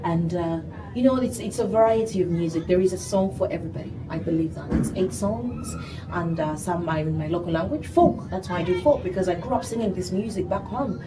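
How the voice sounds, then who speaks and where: A young woman with a Ugandan accent talks animatedly about her music in an interview. Her voice sits slightly low. She is picked up from some distance, with noticeable background noise. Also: fairly quick. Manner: animated, sprinkled with "you know". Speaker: gospel singer and guest. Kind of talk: interview conversation. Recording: distant mic, noisy background.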